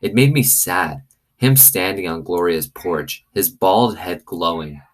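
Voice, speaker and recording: A man's voice: low-pitched, takes it slowly. A man reading a children's novel aloud like a teacher, with commentary along the way. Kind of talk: expressive storybook reading with commentary.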